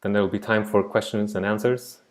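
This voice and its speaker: A man's voice: on the low side, fairly even intonation, brisk. A man in his thirties from Switzerland, teaching an online art class in a reflective, digressive way over a laptop mic. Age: 30s. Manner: reflective, digressive, soft-spoken. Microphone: laptop mic on a video call.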